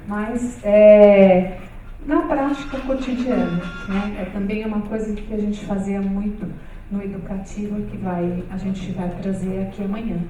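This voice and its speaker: A woman's voice: medium pitch, warm tone, slight background noise. A woman moderating a seminar panel on a stage, talking casually with many fillers and restarts. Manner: hesitant, many fillers, conversational. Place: large hall with stage. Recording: echoey hall, far from mic.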